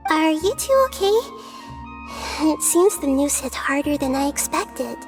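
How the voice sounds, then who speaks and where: A woman voicing a small, gentle, wise childlike goddess in a video game, composed and articulate, with a soft girlish voice. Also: high voice, big pitch swings, unhurried, faint music behind, exaggerated voice. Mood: sympathetic. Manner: composed, articulate, kindly explaining.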